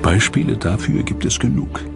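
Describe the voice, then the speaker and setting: Deep-voiced man narrating over loud music. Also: expressive, moderate pace, creaky. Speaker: documentary narrator. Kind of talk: scripted documentary narration. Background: loud background music.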